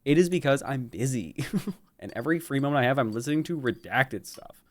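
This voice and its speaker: A man chats casually on a livestream at a very quick pace. His voice sits at an average pitch and he teases and jokes as he goes. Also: expressive. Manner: teasing, joking. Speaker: online show panelist.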